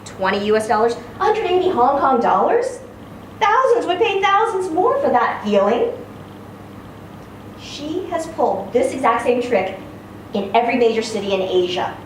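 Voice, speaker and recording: A woman giving a live dramatic reading of a literary story, phrasing it expressively and deliberately with pauses. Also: sits high. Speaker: story reader. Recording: very echoey room, distant mic, faint noise.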